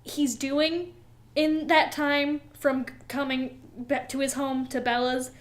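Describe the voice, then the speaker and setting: A woman's voice: sits high, flat delivery, slow. A woman co-hosting a casual comedic book chat, giggly, emphatic and incredulous, with plenty of swearing. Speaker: podcast co-host.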